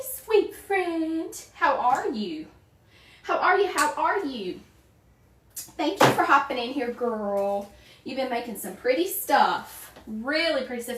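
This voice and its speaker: Southern lady in her 40s, higher voice, chatting away w folksy sayings, kinda far off in an echoey room. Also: pushed and bright, slight background noise. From small town in Virginia. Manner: chatty, greets viewers by name, folksy sayings. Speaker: wreath-making livestream host. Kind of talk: casual livestream chat while crafting.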